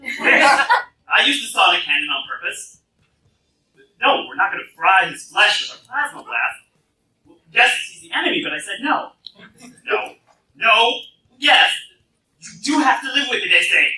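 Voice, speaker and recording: Teen boy hero, male actor, high and piercing, exasperated radio-play delivery, distant roomy capture. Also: unhurried, long pauses, a little hiss or hum, exaggerated voice. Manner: exasperated, animated. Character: excitable teenage boy superhero arguing with his alien armor. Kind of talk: live radio play acting. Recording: distant echoey fan recording of live stage.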